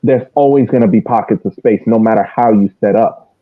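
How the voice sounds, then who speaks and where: A man's voice: on the low side, brisk, narrow, tinny audio. A man giving casual, emphatic match analysis as a podcast host, circling back to repeat his points, through thin band-limited audio.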